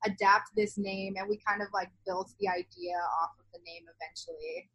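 A woman's voice: mid-range voice, steady tone, moderate pace, fairly bright tone, slightly rough, no echo, low-quality recording. A young adult woman being interviewed, talking casually in a loose, meandering way peppered with "like". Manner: heavy use of "like", loose and meandering. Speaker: interview guest, zine co-founder.

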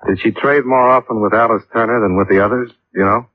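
On a muffled, hissy vintage radio recording, a man plays a hard-nosed investigator with a low voice. He talks tersely and businesslike, with a doubting edge, in classic radio detective style. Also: fairly even intonation, quick pace, lightly acted. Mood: businesslike, probing. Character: tough, skeptical male investigator questioning witnesses and narrating. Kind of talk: old-time radio detective drama acting.

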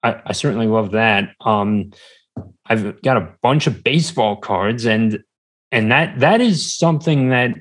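A man chatting casually as an interview guest, halting and rambling, often repeating words as he goes. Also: on the low side, expressive. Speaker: podcast guest, small-business entrepreneur.

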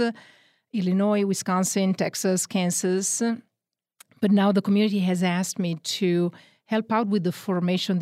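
A woman from northern Italy with an Italian accent, a religious sister talking as a radio guest, relaxed with fillers and light jokes. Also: mid-range voice, no echo, clean studio sound. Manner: warm joking, fillers like um and uh. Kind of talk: radio interview. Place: radio studio.

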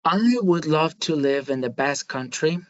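A man from Spain with a Spanish accent, answering interview questions in a thoughtful way with many pauses and restarts. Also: fairly high pitch, no echo. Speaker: guest, market research manager. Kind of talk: podcast interview. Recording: dry, slightly dull audio.

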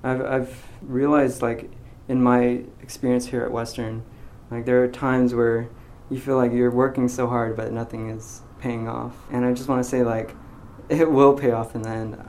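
A young man in his twenties, a graduating physics student, talking in a casual interview, soft-spoken and hesitant with many ums and restarts. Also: medium pitch, level tone, moderate pace, few pauses, a little hiss or hum. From United States. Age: 20s.

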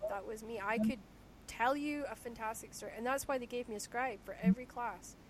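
A woman from Shetland hosting a podcast interview, chatty and self-deprecating, with a naturally squeaky voice and frequent laughter. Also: a little fast, a little hiss or hum. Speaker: podcast host. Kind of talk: casual conversational interview.

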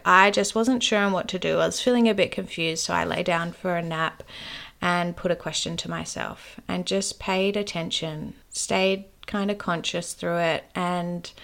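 A woman's voice: on the low side, fairly bright tone. A woman who works as a dream teacher, telling a personal story to her viewers in an earnest, heartfelt way.

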